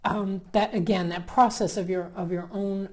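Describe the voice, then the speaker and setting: A woman's voice: mid-range voice, steady tone, moderate pace, a little mellow. A woman hosting a conversational interview, speaking in an affirming, reflective way as a religious sister.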